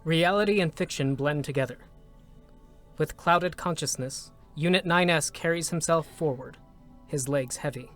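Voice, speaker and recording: A man narrating a scripted drama in a measured, solemn manner, with short, deliberate phrases. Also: fairly high pitch, a bit bright. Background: music.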